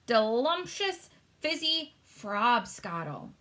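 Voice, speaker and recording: A woman's voice: a little high, sing-song, slow pace, fairly bright tone, voice pushed up, heavily acted. A woman voicing a big, friendly male giant from a storybook, childlike and boisterous, exclaiming in garbled words. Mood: eager.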